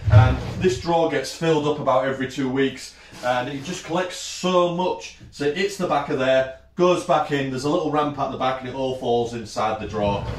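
A British man in his thirties, chatty and relaxed, giving a casual tour of his small indoor woodworking workshop, recorded on the camera mic. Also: fairly high pitch, slight background noise. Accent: British English. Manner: relaxed, chatty, lists tools in short phrases. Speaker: woodworking channel host.

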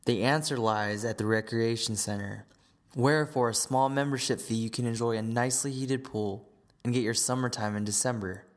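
A man's voice: medium pitch, fairly even intonation, moderate pace. A man narrating a scripted story as the narrator of an audio drama.

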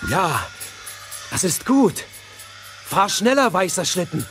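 An actor playing a headstrong young boy in a fairy-tale audio play, with an expressive, youthful manner. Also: high voice, takes it slowly, soft background music, full character voice. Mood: thrilled. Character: young boy, headstrong, scornful, easily enchanted.

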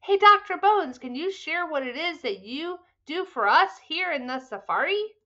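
A woman's voice: very high voice, expressive, normal speed, bright, forceful tone, muffled recording, pitched above the performer's own voice, full character voice. A woman voicing an enthusiastic, admiring male hippo in a children's storybook, gushing and exclaiming.

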